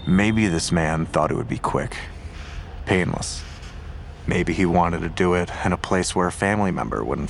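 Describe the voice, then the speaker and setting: A weary, skeptical detective in an audio drama, speaking in a deep, gravelly voice. He is terse and measured, probing as he goes, with faint noise behind him. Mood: somber, musing.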